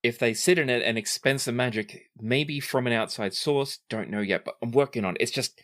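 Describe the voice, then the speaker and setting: A man's voice: mid-range voice, a little fast, a bit bright, natural voice. Guy playing a bashful lil gecko lady inventor in a tabletop game, keen, kinda stammery. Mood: thoughtful. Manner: hesitant, modest, stammering repeats. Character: shy, eager young female gecko inventor shopkeeper.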